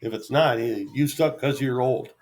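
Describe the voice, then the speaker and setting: Middle-aged guy just rambling to his camera, medium voice, halting, easygoing, pokes fun at himself. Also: medium pitch, darkish tone. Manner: halting, easygoing, self-deprecating. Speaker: vlogger. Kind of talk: unscripted solo ramble to camera.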